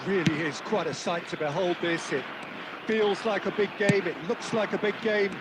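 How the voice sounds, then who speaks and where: A man voicing the match commentator of a football video game, measured and polished, raising his voice on players' names. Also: high voice, steady tone, close to an everyday voice. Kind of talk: scripted sports game commentary. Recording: game audio under loud crowd noise.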